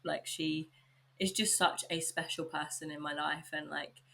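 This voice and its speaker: A young woman with an English accent hosting her own podcast, chatting warmly and informally, a little husky from a sore throat. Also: sits low, steady tone, a little slow, slight background noise. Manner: upbeat, chatty, lots of likes and you knows. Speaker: podcast host. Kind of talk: casual solo podcast chat.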